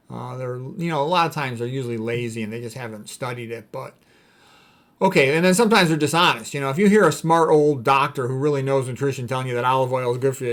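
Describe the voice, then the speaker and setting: A man speaking as a doctor in an informal lecture, reading out quotes and commenting on them in a blunt, emphatic, opinionated way. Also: mid-range voice. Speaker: doctor commenting on diet and medicine.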